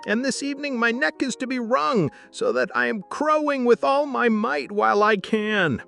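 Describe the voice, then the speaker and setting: Man putting on a high, indignant storybook rooster. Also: big pitch swings, normal speed, gravelly, pushed and bright, faint music behind, full character voice. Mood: distressed, indignant. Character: loud, indignant, doomed rooster. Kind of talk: storybook character voice.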